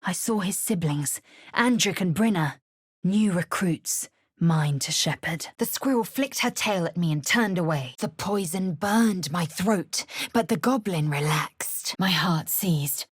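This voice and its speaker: Husky alien warrior woman for a video game, kinda low and slow, haughty and fierce, super dry recording. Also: expressive, no echo. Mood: solemn, brooding. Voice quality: husky, raspy. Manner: clipped, haughty, imperious, formal archaic phrasing. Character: fierce, proud githyanki warrior woman, disdainful and commanding.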